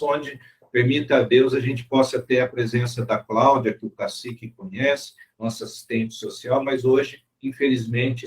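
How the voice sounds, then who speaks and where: A man hosting a video-call interview, courteous and formal, closing with blessings. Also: medium pitch. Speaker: interview host.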